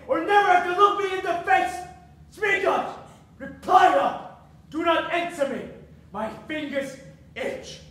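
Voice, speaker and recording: Domineering middle-aged patriarch, classical stage drama. High voice, declamatory menace, distant mic. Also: male voice, fairly bright tone, heavily acted. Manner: declamatory, bullying, contemptuous. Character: enraged domineering middle-aged nobleman father. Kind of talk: theatrical Shakespearean stage acting. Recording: echoey distant stage recording, faint noise.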